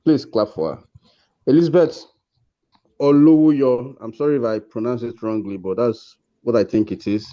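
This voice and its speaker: A Nigerian man presents awards in an informal online ceremony. His voice is soft-edged and relaxed, with an encouraging, congratulatory manner. The call audio sounds somewhat dull and lo-fi. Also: mid-range voice, moderate pace. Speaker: host presenting course awards. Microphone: video call.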